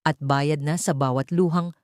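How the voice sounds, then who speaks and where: A woman's voice: deep voice, fairly even intonation, a little slow, a little mellow, no echo, clean studio sound. A woman storyteller playing a wronged former fiancée who speaks with cold, weary and accusing resolve in a romance drama. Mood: bitter, resolute.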